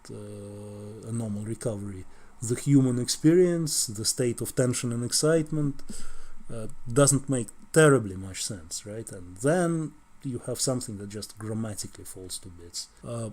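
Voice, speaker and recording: Husky man with a Russian accent. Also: mid-range voice, a little mellow. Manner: conversational, wry, rhetorical tag questions. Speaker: translator and lecturer. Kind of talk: explanatory video lecture.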